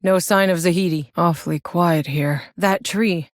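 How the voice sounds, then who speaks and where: A woman's voice: lowish voice, steady tone, a little slow, no room sound, clean studio sound, close to an everyday voice. An actress playing a tough, sardonic, battle-hardened soldier in a sci-fi video game, blunt and wry in her delivery. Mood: wry, weary. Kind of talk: scripted video game character voice lines.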